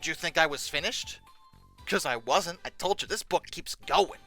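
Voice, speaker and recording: Exasperated, sarcastic commentator with very animated pitch. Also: normal speed, soft background music. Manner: sarcastic, exasperated, with mock outrage. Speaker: host of a paleontology commentary show. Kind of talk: comedic reaction commentary, reading aloud and critiquing.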